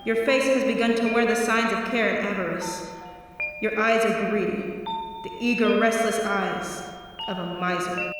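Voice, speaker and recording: A woman's voice: mid-range voice, moderate pace, natural voice. A woman playing a ghostly spirit guide in an old-time radio play, with theatrical, pointed questioning and a taunting, stern temper. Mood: stern, reproachful. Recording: echoey hall, distant, music behind.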